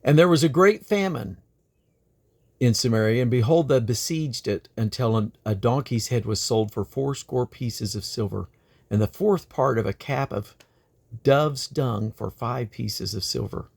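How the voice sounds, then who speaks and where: A man reading the Bible aloud in a deliberate, expressive way. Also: medium pitch, creaky. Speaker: scripture reader.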